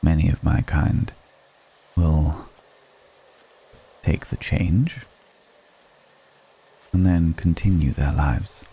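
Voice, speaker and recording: A man playing an ancient, refined, wistful male vampire in an intimate ASMR roleplay, speaking slowly and formally with measured pauses. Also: very deep voice, fairly even intonation, gravelly, warm tone, a little hiss or hum, full character voice. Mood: reflective, grave. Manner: slow, measured, pausing between phrases, formal wording. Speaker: voice actor in an audio roleplay.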